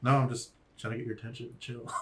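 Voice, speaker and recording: A man's voice: mid-range voice, normal speed. A man with a big, carrying voice in a casual group chat. He tells stories with energy and slips into other people's voices for the quotes. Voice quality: naturally loud, carrying voice. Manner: animated storyteller acting out voices.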